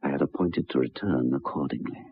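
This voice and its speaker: Husky, very low-voiced troubled gentleman. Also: exaggerated voice. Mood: brooding. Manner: formal, deliberate, halting with hesitations. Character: troubled, earnest gentleman recounting a ghostly tale. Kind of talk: old-time radio drama narration. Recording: dull vintage radio recording.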